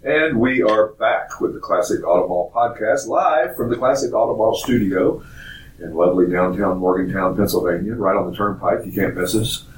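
A hoarse man with a raspy voice from a cold, hosting a casual, rambling car podcast in a studio. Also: fairly low pitch, moderate pace, reverberant, far from the mic, rough recording. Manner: rambling, chuckling, with many fillers. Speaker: podcast host at a classic car dealership. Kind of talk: casual chat.

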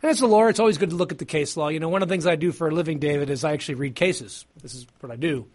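A man hosting a talk radio show, speaking haltingly with many fillers and restarts in an easygoing way. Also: on the high side, a little mellow.

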